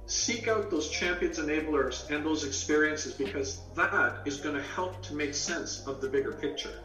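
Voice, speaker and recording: A man's voice: on the high side, moderate pace, pushed and bright, roomy sound, recorded from a distance, soft background music, poor audio. A man born in England and raised in Canada, an author talking as a guest, explaining ideas through long metaphors and acting out little quoted conversations. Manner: explains with extended metaphors, acts out quoted dialogue. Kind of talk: storytelling interview answers.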